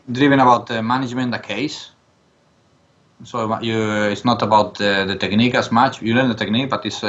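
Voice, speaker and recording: Halting man, bright voice, muffled audio. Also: medium pitch. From born in Spain, later lived in Jordan. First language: Spanish. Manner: halting, searching for words. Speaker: interview guest, dental professor. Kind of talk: conversational interview answers.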